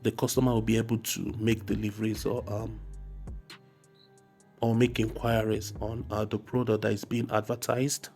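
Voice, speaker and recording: A man with a slightly hoarse voice from a cold narrates a casual software tutorial, explaining step by step with frequent hesitation. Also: on the low side, level tone, normal speed. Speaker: graphic design tutorial host. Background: faint music.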